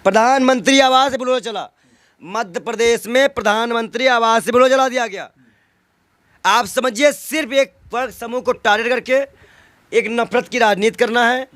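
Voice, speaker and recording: A man's voice: extremely high pitch, moderate pace, a bit bright, no echo. A man in a heated political interview, arguing emphatically with rhetorical questions and repeated phrases. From North India.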